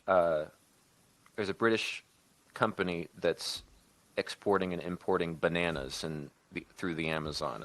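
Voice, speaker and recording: Male podcast co-host, low voice, dry close sound, pitches stories informally with frequent rewording. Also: a bit bright, dry recording. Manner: halting, often backtracking and rewording mid-sentence. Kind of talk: casual creative discussion, improvised story pitch.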